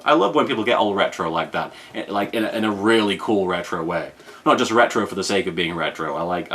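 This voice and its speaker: A man's voice: on the low side, sharp tone. A man hosting an unboxing in a casual, chatty style, enthusiastic and rambling with jokey asides. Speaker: unboxing show host. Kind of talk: casual chat, product unboxing commentary.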